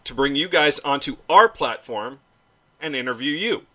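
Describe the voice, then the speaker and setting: Animated, bright-voiced joking host. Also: male voice, medium pitch, moderate pace, husky, muffled recording. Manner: playful, joking, self-deprecating. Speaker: podcast host. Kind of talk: casual interview chat.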